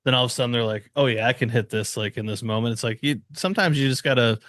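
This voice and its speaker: A man's voice: medium pitch, quick pace, fairly bright tone, no room sound, clean studio sound. A man co-hosting a podcast, talking sport in a casual, slangy and agreeable conversational way. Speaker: podcast co-host. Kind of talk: casual sports chat and analysis.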